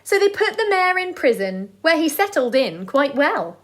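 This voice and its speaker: High-voiced woman reading a children's story. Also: big pitch swings, fairly bright tone. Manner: deliberate, sing-song delivery of rhyming verse.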